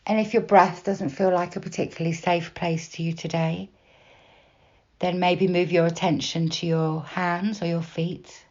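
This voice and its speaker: Low-voiced woman guiding meditation, slightly muffled. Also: level tone. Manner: slow, soft, unhurried with long pauses. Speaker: meditation guide. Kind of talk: guided body scan meditation, gentle instruction.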